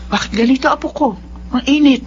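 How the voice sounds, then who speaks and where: A woman's voice: high-pitched, fairly even intonation, quick pace, lightly acted. An actress playing a concerned, practical middle-aged mother and grandmother in a Tagalog radio drama. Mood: worried. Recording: dull lo-fi, noisy background.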